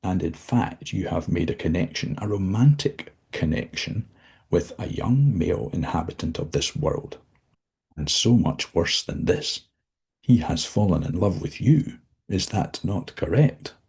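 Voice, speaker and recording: A man's voice: low-pitched, lively intonation, unhurried, gravelly, darkish tone, husky, exaggerated voice. A man voicing the devil as a formal, condescending and menacing figure. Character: the devil, suave, menacing, all-knowing male.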